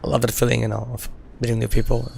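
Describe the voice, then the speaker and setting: A man's voice: mid-range voice, monotone, quick pace, gravelly, a little mellow, breathy edge. A male game streamer who is losing his voice, chatting casually with viewers while playing, laughing often and using lots of "you know" fillers. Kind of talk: casual live-stream chat while gaming.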